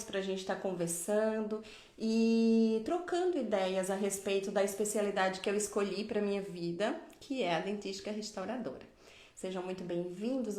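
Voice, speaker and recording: A woman's voice: medium pitch, roomy sound. A middle-aged woman from Pernambuco, Brazil, giving a live online dentistry lecture in a didactic way, often adding tag questions. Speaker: dentistry professor.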